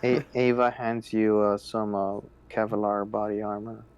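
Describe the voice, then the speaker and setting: A man's voice: lowish voice, little pitch movement, unhurried, darkish tone, clean tone. A man playing a tabletop game, hesitantly describing a character's actions in casual speech. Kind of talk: casual third-person roleplay narration.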